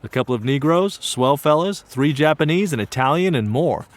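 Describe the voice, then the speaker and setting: An actor narrating as an earnest young student, telling his story in an earnest, wry and lively way. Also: fairly high pitch, normal speed, lightly acted. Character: earnest young Japanese American male student. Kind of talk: one-man stage play narration.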